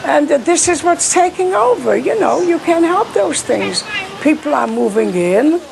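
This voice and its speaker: A woman's voice: high-pitched, sing-song, moderate pace, creaky, background noise. An older woman, a long-time resident, talking casually and with resignation in a documentary interview.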